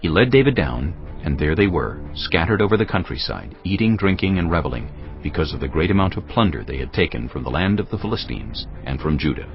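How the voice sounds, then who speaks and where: A man narrating dramatized scripture in a solemn, measured storytelling manner. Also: deep voice, sing-song. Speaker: Bible narrator. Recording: dull audio with music behind. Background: background music.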